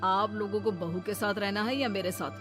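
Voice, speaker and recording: A woman's voice: mid-range voice, steady tone, moderate pace, music in the background, close to an everyday voice. Woman playing a selfish, impatient young son. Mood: defiant.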